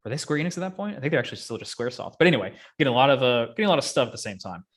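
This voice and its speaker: A young man with an average-pitched voice talks casually as a podcast co-host. He is blunt and opinionated, and he swears freely as he goes. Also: sing-song, rapid-fire. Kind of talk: casual chat.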